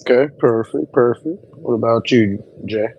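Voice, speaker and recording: A man's voice: medium pitch, normal speed, a little mellow, dull audio. A man hosting a casual album review chat, rambling with frequent ums and hesitations. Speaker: podcast host.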